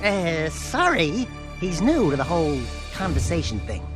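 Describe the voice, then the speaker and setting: A man voicing a cocky, excitable little animal sidekick in a video game, scratchy and sarcastic, talking in exaggerated wisecracks. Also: on the high side. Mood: sheepish. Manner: fast-mouthed, sarcastic, exaggerated. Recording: game music behind the voice.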